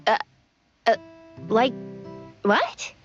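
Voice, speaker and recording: Perky high swooping cartoon inventor. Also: female voice, extremely slow, exaggerated voice. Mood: teasing. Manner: excitable, teasing. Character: perky, cheeky young female cartoon gunsmith inventor. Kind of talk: noir cartoon game acting. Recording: slightly muffled game audio, faint music.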